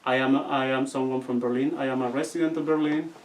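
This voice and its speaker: A young man with a Guatemalan accent, telling stories as an interview guest and often pausing to search for his words. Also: medium pitch, steady tone, a little hiss or hum. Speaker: seminarian guest. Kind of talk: casual interview storytelling. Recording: distant from the mic.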